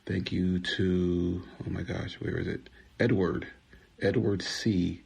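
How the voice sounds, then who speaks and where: A man hosting a podcast, speaking casually in a halting, hesitant way. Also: low-pitched, level tone, creaky, darkish tone, breathy edge. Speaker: podcast host. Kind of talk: casual thanks to donors.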